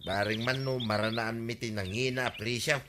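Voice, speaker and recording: A man's voice: mid-range voice, steady tone, moderate pace, background noise, close to an everyday voice. A man playing an agreeable, enterprising village farmer in an Ilocano radio comedy drama, folksy and emphatic, with an Ilocano accent. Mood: hopeful.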